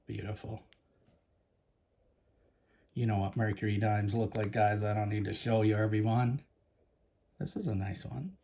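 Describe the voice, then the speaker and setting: A man's voice: on the low side, moderate pace, creaky, pauses often, dark tone, slight background noise. A man with a Canadian accent hosting a casual coin-collecting vlog, chatty and enthusiastic, talking straight to his viewers. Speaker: coin collecting channel host. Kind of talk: casual show-and-tell vlog.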